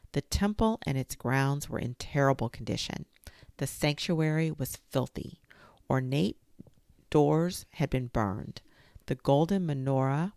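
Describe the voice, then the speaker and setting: A woman hosting a storytime show, reading a children's history book aloud in a gentle, careful storytelling manner. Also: a bass voice, creaky, no echo, studio quality. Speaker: storytime reader.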